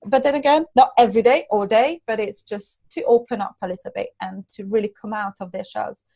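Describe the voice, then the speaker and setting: A middle-aged woman from Hungary with a Hungarian accent, talking earnestly as a guest business coach, halting and restarting often. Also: medium pitch, creaky, dark tone. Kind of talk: casual interview chat.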